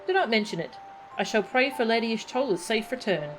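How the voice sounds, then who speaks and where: A woman's voice: fairly high pitch, lively intonation, brisk, faint music behind, lightly acted, poor audio. A woman reading out the polite, formal lines of a male military marshal in a game. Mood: courteous. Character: courteous military marshal, a man. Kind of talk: reading game dialogue aloud.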